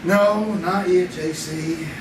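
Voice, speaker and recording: A man's voice: sits high, fairly even intonation, slow pace, noisy background. An actor playing Greg, a wry, joking young man who is short of money, in a 1950s-style radio drama performed live on stage. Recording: echoey theater sound from a distant mic.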